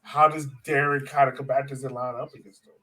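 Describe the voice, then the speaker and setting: A man's voice: mid-range voice, level tone, a little fast, fairly bright tone, recorded from a distance. A man hosting a casual sports podcast chat, posing questions to his co-hosts. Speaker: podcast host.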